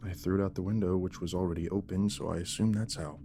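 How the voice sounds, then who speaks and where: A man's voice: low voice, fairly even intonation, quick pace, mellow, slight background noise. An actor playing an exhausted young father in an audio drama, placating and puzzled, in an understated conversational way. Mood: defensive, puzzled. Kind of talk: naturalistic audio drama acting.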